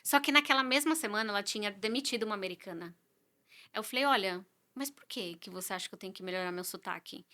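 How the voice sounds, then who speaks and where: A woman's voice: mid-range voice, fast, pushed and bright. A Brazilian woman who works as a real estate agent, talking earnestly in a casual interview. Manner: earnest, conversational, frequent "né" and "sabe".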